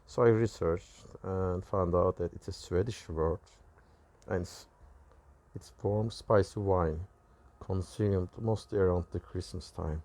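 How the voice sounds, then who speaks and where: Man, Turkish accent, native Turkish speaker, mellow dark tone, low pitch, halting delivery, religious testimony. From Turkey. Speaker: religious video creator. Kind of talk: personal testimony recounting dreams and visions.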